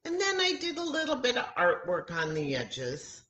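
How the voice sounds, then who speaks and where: A woman in her sixties hosting a children's story time, speaking in simple, expressive phrases as she teaches. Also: a little high, moderate pace, slight background noise. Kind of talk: storytelling and craft lesson for young children. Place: room at home beside a kitchen, indoors. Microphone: laptop or webcam mic at arm's length. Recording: roomy, echoey home webcam sound.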